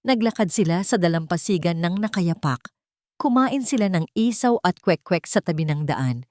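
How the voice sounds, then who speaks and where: A woman's voice: fairly low pitch, lively intonation, moderate pace, darkish tone, dry recording, clean studio sound. A woman reading a scripted romantic drama story as its narrator. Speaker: narrator of audio romance stories.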